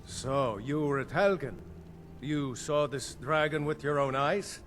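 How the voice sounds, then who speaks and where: An actor playing a stern middle-aged Jarl in a fantasy video game, speaking formally and with authority. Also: male voice, a little high, measured pace, fairly bright tone, a little hiss or hum. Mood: stately, grave.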